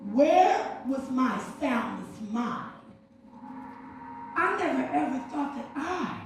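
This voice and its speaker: A woman's voice: high-pitched, big pitch swings, slow, slight background noise. A woman performing spoken word on stage with a rhythmic, dramatic delivery. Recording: echoey hall from far off with music.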